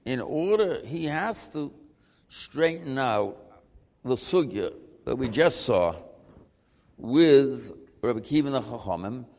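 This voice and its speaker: A man's voice: mid-range voice, slow, creaky, pauses often. Man teaching a Talmud class in a hall. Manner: animated, questioning, mixing Hebrew terms.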